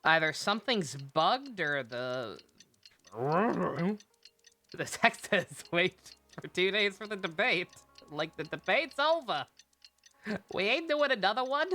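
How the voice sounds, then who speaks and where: A woman's voice: on the low side, big pitch swings, takes it slowly, sharp tone. A woman streamer giving casual, blunt, sweary live commentary on a game, with a sarcastic manner.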